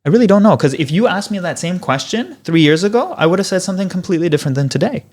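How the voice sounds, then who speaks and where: A man in his thirties from Canada with a Canadian English accent, Polish as his first language, explaining his work as a guest in a casual interview, animated and fond of rhetorical questions. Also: high voice, very fast. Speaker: podcast guest, physiotherapist.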